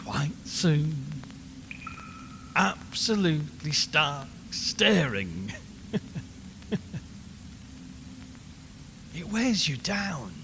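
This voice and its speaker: An actor playing an unnerved radio reporter in an audio horror drama, rambling and repeating himself with forced cheer as he slowly loses his nerve. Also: fairly high pitch, sing-song, bright, forceful tone, heavily acted. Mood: fraying, unhinged. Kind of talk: audio horror drama, live broadcast monologue. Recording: long hollow reverb, clear background noise.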